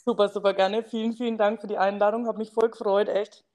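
A woman's voice: mid-range voice, little pitch movement, fast talker, darkish tone. Chatty Franconian woman in her thirties. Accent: Franconian German. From Franconia, near Bamberg. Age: 30s. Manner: chatty, enthusiastic, many fillers and laughs. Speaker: podcast guest. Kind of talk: casual interview chat.

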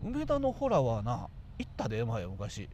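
A man's voice: mid-range voice, wildly swooping pitch, a little slow, darkish tone, highs cut off, a little hiss or hum. A man with a Kansai Japanese accent, a radio co-host rambling casually in a colloquial, musing way. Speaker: radio talk show co-host.

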